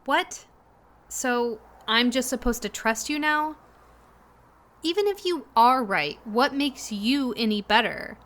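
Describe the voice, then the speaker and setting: A woman's voice: on the high side, animated, slow, fairly bright tone. An actress in an audio drama playing a weary, distrustful and defiant young woman passenger. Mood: angry, dismissive. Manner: wary, confrontational.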